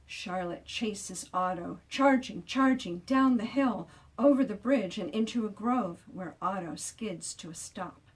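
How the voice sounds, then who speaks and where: Slow, expressive woman reading a picture book. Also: mid-range voice, recorded from a distance, low-quality recording. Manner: deliberate, drawing out sound words for emphasis. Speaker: children's author reading own book aloud.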